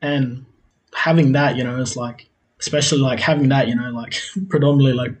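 A man's voice: medium pitch, fairly even intonation, a little fast, low-quality recording. A man in his twenties with an Australian accent, telling his life story as a podcast guest in a loose, rambling way, with lots of fillers and frequent laughs. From South Australia. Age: 20s. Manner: rambling, repeats himself, many "like" fillers, laughs often. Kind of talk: casual interview storytelling.

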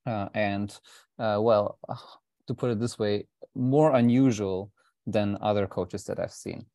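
Low-voiced man hosting, rambling with fillers. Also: fairly even intonation, a little slow, mellow, no echo, studio quality. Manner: rambling, many fillers and restarts. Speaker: podcast host. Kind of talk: casual interview intro.

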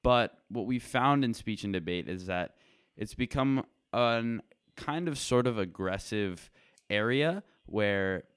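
A teenage boy with a low, smooth voice explains his podcast's background in measured, hedging sentences. The sound is dry and close. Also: dry recording. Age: teens. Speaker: podcast co-host. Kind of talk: casual explanation.

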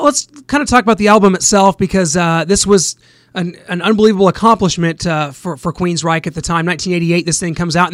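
A man's voice: high-pitched, dry recording, studio quality. A man hosting a radio interview, asking eager, rambling questions with frequent restarts. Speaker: radio show host.

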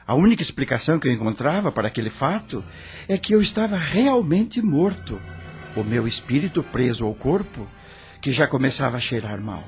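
A male actor plays a dead rock star telling his own story in a Brazilian radio drama. The voice is mid-pitched, solemn and theatrical, heavy with torment and regret. Faint music plays underneath. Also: lightly acted. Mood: resigned, suffering.

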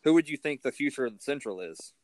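A man's voice: mid-range voice, animated, fast, darkish tone, dry recording. A man hosting a casual sports interview as the interviewer.

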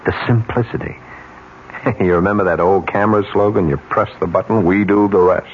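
An actor playing a cynical, persuasive ad executive in a radio mystery drama, smooth, chuckling and insistent. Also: male voice, deep voice, steady tone, a little fast. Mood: smugly persuasive. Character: cynical, persuasive male ad executive scheming to corrupt a colleague. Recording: dull, noisy old radio recording.

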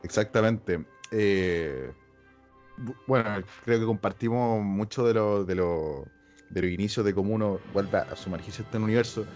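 A Chilean man with a somewhat low voice throws in slangy, joking remarks. He banters with his co-hosts while faint music plays in the background. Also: darkish tone, highs cut off. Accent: Chilean Spanish. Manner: slangy, joking, quick interjections. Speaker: podcast co-host. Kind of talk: casual chat.

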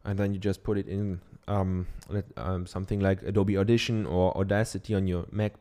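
A man's voice: deep voice, flat delivery, brisk, dark tone. A man from Germany with a German accent, giving a casual how-to talk from his office into a dynamic studio microphone, chatty and loose, with many ums and tag questions. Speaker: podcaster. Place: treated home office.

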